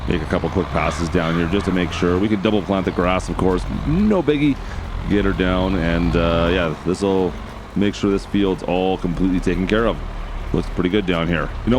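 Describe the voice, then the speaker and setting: A man with a hoarse, raspy voice casually commenting as he plays a farming game, thinking aloud and talking to his viewers. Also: low voice, noisy background. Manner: chatty, thinks aloud, addresses viewers as guys. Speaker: game channel host.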